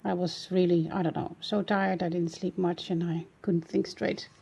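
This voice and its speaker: A woman's voice: fairly low pitch, little pitch movement, normal speed, creaky, warm tone, breathy edge. A woman with a Dutch accent, a native Dutch speaker, casually narrating a flip-through of her art journal and searching for words as she goes.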